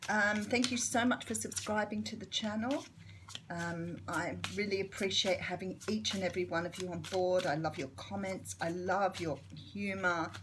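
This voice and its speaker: A middle-aged Australian woman with a slightly croaky morning voice, chatting casually through a tarot reading with lots of fillers. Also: medium pitch, fairly even intonation, moderate pace, noisy background. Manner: chatty, friendly, filler-heavy. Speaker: tarot reader.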